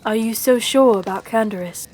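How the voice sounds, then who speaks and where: Woman playing an earnest, high-voiced young Jedi. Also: moderate pace, darkish tone, lightly acted. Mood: doubtful. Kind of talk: audio drama acting. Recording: noisy background with rumble.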